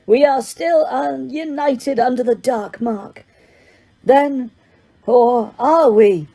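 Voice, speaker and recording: A chilling male dark lord, as a woman reader puts him on, speaking slowly in a quiet, mellow voice raised above her own. He hisses out his threats with cold, unhurried menace. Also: high voice, pitched above the performer's own voice, exaggerated voice. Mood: menacing.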